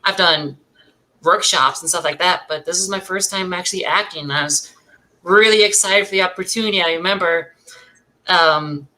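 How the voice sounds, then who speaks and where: Young adult woman, American accent, bright forceful voice, chatty, informal guest interview. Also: lowish voice, moderate pace, low-quality recording. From Minnesota, United States. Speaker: actress, podcast guest. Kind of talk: casual chat.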